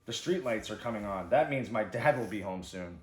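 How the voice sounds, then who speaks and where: A man's voice: lowish voice, animated, normal speed, natural voice. A man voicing an eager young boy hockey player in a storybook, recorded in an echoey room. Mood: worried. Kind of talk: storybook character voice.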